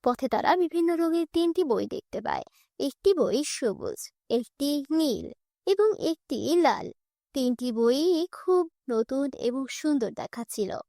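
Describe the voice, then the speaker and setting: A woman's voice: sits high, expressive, takes it slowly, creaky, mellow, no room sound, studio quality. A woman reading scripted children's story narration as a storyteller.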